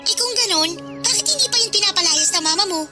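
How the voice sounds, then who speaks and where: A woman's voice: very high voice, animated, quick pace. An actress playing a carefree, bratty teenage party girl in a radio drama, slangy and flippant. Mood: teasing, smug. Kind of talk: radio drama acting in Filipino. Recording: loud music bed.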